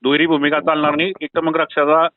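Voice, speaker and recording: A man's voice: fairly high pitch, little pitch movement, fast talker, dry recording. Insistent man on a tinny phone line. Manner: agitated, insistent, deferential to listener. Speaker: party worker. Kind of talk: private phone conversation. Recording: tinny phone call recording, dry.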